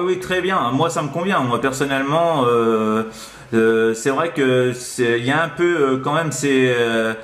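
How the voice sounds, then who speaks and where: A man chatting casually as a radio operator from an apartment, with hesitant, halting phrasing. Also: medium pitch, a bit bright. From France. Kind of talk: casual radio contact chat. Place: indoors, sixth-floor apartment. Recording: echoey room.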